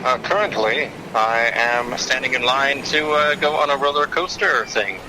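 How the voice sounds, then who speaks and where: A man's voice: medium pitch, normal speed. Casual man on a noisy outdoor call. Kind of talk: casual phone chat.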